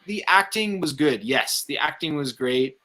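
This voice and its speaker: Man, somewhat high pitch, sharp bright tone, colloquial, hesitant, casual film talk. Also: expressive, measured pace. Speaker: film review show co-host.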